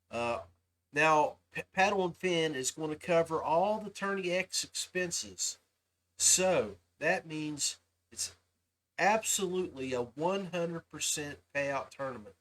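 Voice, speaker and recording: A man's voice: a little high, a bit bright, poor audio. A man hosting a livestream podcast, talking casually and informally to his viewers. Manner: informal, addresses listeners as guys. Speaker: podcast host.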